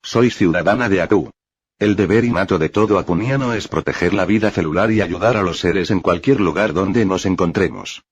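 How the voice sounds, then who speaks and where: A serene alien healer woman speaks in soft, measured, kindly words, voiced by a man reading aloud. The low voice carries a husky grain. The recording sounds dull and dry, like old lo-fi audio. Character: gentle female alien healer, calm and wise.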